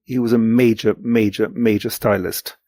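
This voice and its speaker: A man in his sixties whose mother tongue is German, speaking reflectively and haltingly as an interview guest, often repeating words for emphasis. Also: on the low side, steady tone, dark tone, dry recording. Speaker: guest, literary translator. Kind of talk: reflective podcast interview talk.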